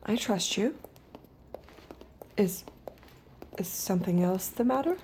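A woman playing a compliant young girlfriend in an audio drama, soft-spoken and guarded, sweet on the surface and doubting underneath. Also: medium pitch, lively intonation, slow, background noise. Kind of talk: naturalistic audio drama acting.

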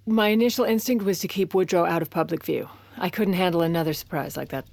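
An actress playing a determined, scheming president's wife in an audio drama, confiding and commanding by turns. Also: female voice, lowish voice, fast, gravelly, darkish tone, no echo.

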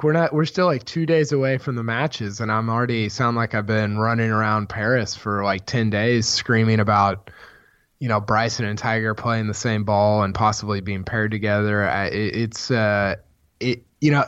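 Hoarse man rambling about golf. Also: lowish voice, moderate pace, dry recording. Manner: rambling, many restarts, excited. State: hoarse, losing his voice. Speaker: podcast co-host, golf writer. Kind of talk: casual sports podcast chat. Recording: dull, dry podcast mic sound.

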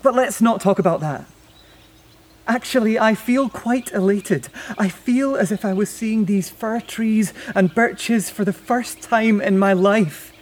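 An actor playing a wistful, reflective man in a naturalistic audio play, speaking haltingly and lyrically. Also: sits high, slight background noise. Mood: elated, wondering. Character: wistful, elated man saying goodbye to his beloved. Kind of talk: naturalistic audio drama acting.

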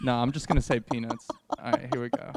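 A man chatting casually as a prank-call podcast host, excitable and jokey. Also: mid-range voice, quick pace. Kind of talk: casual chat and prank calling.

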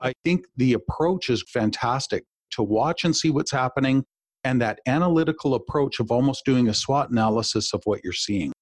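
A man's voice: medium pitch, animated, normal speed, dry recording. An upbeat male host of a business podcast who frames each question with a long, friendly lead-in. His voice sits in a middle register and is recorded close and dry, with no room sound. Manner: enthusiastic, warm-worded, long wind-up questions. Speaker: podcast host and executive coach. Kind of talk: podcast interview.

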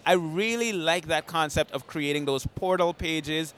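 Guy hosting a podcast, kinda high voice, excited, lots of um and you know, voice a bit worn. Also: a little slow. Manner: enthusiastic, explaining with lots of fillers. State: slightly strained voice after an event. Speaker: podcast host. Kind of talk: casual conversation.

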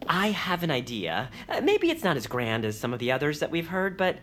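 An actress playing an earnest, timid young idealist who is hesitant and easily flustered, in a family audio comedy. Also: female voice, low voice, sing-song, fairly quick, fairly bright tone, a little hiss or hum. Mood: shy, hopeful.

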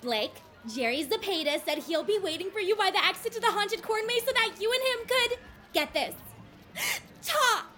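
A woman's voice: very high voice, lively intonation, moderate pace, pushed and bright, heavily acted. An actress playing a brash, sporty, sarcastic teenage girl in an audio drama. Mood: teasing, bragging. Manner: sarcastic, boastful.

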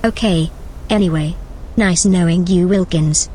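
A woman's voice: lowish voice, a little slow, dark tone, slight background noise, natural voice, low-quality recording. A woman playing a sardonic rogue female artificial intelligence in an audio drama, casual, wry and unbothered. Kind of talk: scripted audio drama acting.

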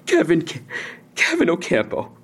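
Young male suspect for audio drama: husky, slangy, evasive, all defensive bravado over fear. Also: fairly high pitch, wildly swooping pitch, normal speed, a bit bright, heavily acted. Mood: cocky, casual. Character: defensive young robbery suspect, tough talk hiding fear. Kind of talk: naturalistic audio drama acting.

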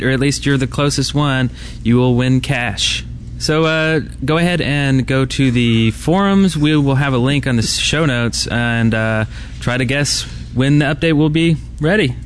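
Male podcast co-host, average pitch, conversational, light event noise in background. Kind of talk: casual podcast chat. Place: indoor convention floor. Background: convention ambience and noise.

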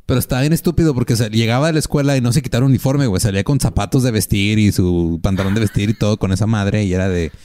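Fast-talking low-voiced Mexican man. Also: mellow, no room sound. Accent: Mexican Spanish. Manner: fast rambling anecdotes, heavy slang. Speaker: podcast co-host. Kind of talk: casual storytelling chat.

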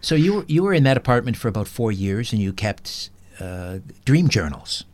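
Low-voiced male host. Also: very animated, normal speed, darkish tone. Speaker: interview show host. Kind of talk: conversational interviewing.

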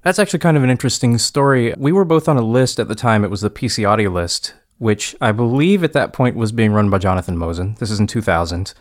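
A man's voice: lowish voice, fast talker, few pauses. A man in a casual podcast conversation, chatty and self-deprecating, laughing often as he tells a story. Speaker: podcast co-host.

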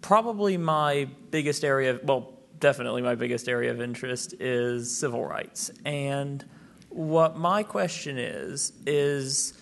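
A young male student asks a panel a question from the audience. He talks slowly and picks his words with care, stopping to rephrase. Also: mid-range voice, creaky, slight background noise. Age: young adult. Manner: halting, careful phrasing. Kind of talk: public Q&A question.

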